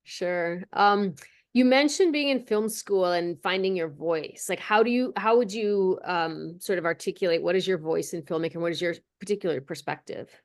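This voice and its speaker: A woman's voice: on the low side, moderate pace. A woman interviewer who asks questions tentatively and rephrases them as she goes.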